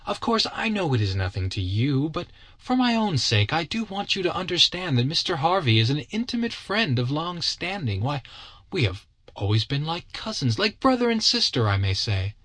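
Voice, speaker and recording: A man's voice: medium pitch, very animated, brisk, a bit bright, dry recording, pitched above the performer's own voice. A man voicing a proud, upset young society woman who pleads and stammers in a flustered way, in a dry, close recording. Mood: pleading.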